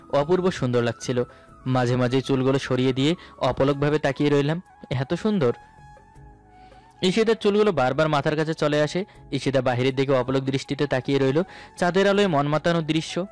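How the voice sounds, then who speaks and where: Male narrator for romantic audio fiction, higher-pitched, first-person scripted read, music bed. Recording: voice over background music.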